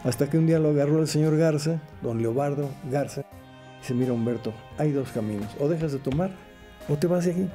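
A man's voice: on the high side, fairly quick, warm tone, faint music behind. A man with a Mexican accent recalling old colleagues in anecdotes during an interview.

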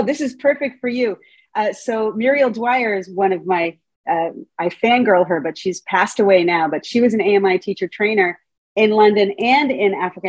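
A middle-aged woman speaks over a video call from a room at home, her voice a little husky and recorded dry and close. She lectures in a chatty, playful way, asking her listeners questions as she goes, at an everyday pitch. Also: dry recording, rough recording. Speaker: Montessori teacher trainer giving a talk. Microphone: video call webcam.

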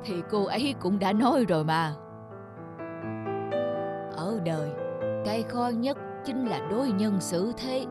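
Wise cartoon elder voiced by a woman, kindly and gently admonishing, mid-pitched, loud music underneath. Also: expressive, measured pace, long pauses, close to an everyday voice. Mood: gently advising. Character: wise, kindly elder giving moral advice.

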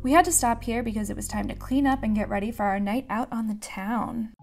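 A woman's voice: a little high, fairly quick, a little mellow, faint music behind. A young woman narrating her travel and crafting vlog in a voice-over, enthusiastic and gushing. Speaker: vlogger.

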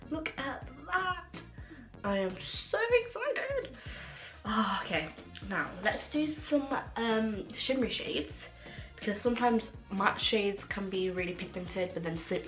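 A young woman reviewing makeup in a casual vlog, excitable and full of fillers, her voice a little rough from a cold and sore throat. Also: mid-range voice. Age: young adult. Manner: gushing, excitable, many fillers. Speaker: beauty vlogger. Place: small room at home, indoors. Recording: echoey room with faint music.

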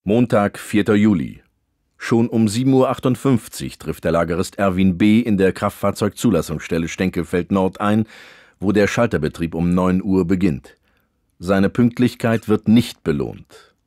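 A man playing a solemn, deadpan narrator in a mock documentary radio comedy. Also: low-pitched, normal speed, no room sound, clean studio sound, close to an everyday voice. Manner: deadpan, formal. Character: solemn documentary narrator logging bureaucratic ordeals.

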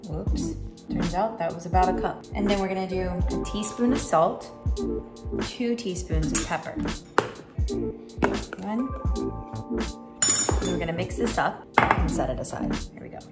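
A woman's voice: fairly low pitch, sing-song, a little slow, long pauses, loud music behind the voice. A woman in her forties chatting casually as she cooks in a large home kitchen, filmed from a camera across the room. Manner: chatty, talks while working at the stove. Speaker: home cooking vlogger. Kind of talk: casual cooking demo. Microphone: far camera on tripod.

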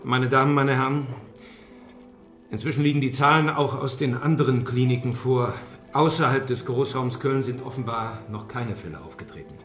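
An actor playing an authoritative male hospital doctor in a German radio drama, speaking in a formal, measured way. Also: mid-range voice, fairly bright tone, close to an everyday voice. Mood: urgent, official. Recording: roomy hall, music underneath.

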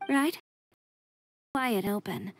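A woman's voice: medium pitch, extremely slow, soft background music. A woman playing a blunt, self-assured young fashion designer in an anime game, speaking in a composed, matter-of-fact manner. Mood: reflective, frank.

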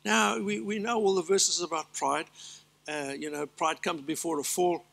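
Older south african pastor preaching on a church stage, bright voice, a bit high, stresses his lists. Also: fairly even intonation, moderate pace, vocal fry. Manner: earnest preaching with emphatic lists and asides. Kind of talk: sermon.

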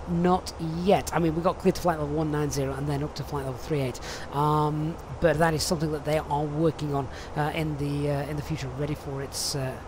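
A man's voice: fairly high pitch, background noise. Chatty male flight sim streamer. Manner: chatty, reads viewer questions aloud with many fillers. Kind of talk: live stream commentary.

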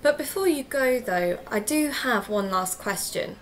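A woman's voice: on the high side, lively intonation, unhurried. Polite, inquisitive woman investigator played by an actress. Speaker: podcast interviewer. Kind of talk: audio drama acting.

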